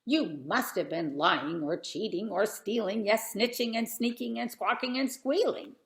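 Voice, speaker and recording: A woman's voice: medium pitch, measured pace, pitched above the performer's own voice, lightly acted. A woman playing a scolding smug male friend. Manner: mocking, rhyming. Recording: roomy echo.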